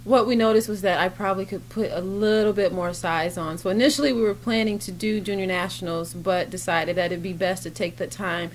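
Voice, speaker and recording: A woman's voice: medium pitch, fairly even intonation, brisk, few pauses. A woman in her forties giving casual, explanatory answers as an interview guest. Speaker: surgeon and competitor, interview guest. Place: indoor backdrop set at a competition venue. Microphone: interviewer's handheld microphone. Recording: noisy event venue ambience.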